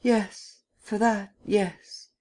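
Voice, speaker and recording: A woman's voice: medium pitch, fairly even intonation, extremely slow, dark tone, voice pushed up. A woman voicing a frightened young man in an audiobook, speaking in faltering, pleading, stuttering tones. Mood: terrified pleading.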